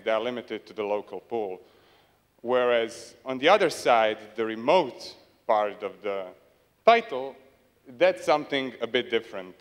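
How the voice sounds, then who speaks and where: Young man presenting, smooth voice, hall reverb. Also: medium pitch. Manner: hesitant, dryly humorous, many fillers. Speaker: conference speaker. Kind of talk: conference presentation. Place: large conference hall stage. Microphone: handheld mic.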